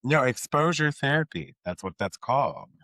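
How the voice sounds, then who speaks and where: A man's voice: medium pitch, lively intonation, moderate pace, sharp tone, dry recording. A man hosting an interview podcast, asking questions and putting in brief supportive remarks. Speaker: podcast host.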